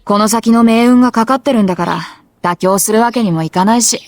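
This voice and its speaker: A bold tomboyish high school girl voiced by a woman, speaking quickly in a fairly high voice. She is a confident, competitive anime game rival who talks brashly and casually. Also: no echo, lightly acted. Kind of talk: anime visual novel voice acting in Japanese.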